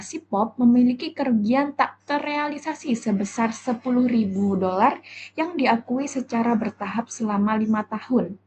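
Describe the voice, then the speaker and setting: A young woman with an Indonesian accent gives a halting, step-by-step explanatory class presentation as a student. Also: fairly high pitch, a little mellow. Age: young adult. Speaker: university accounting student presenter. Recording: dull lo-fi audio, faint background noise.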